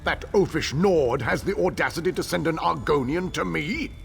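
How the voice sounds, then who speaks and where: A man's voice: a little high, expressive, normal speed, vocal fry, soft background music. An actor playing a bitter, scornful elf man in a fantasy video game, haughty and theatrical in his grief and outrage. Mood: outraged scorn.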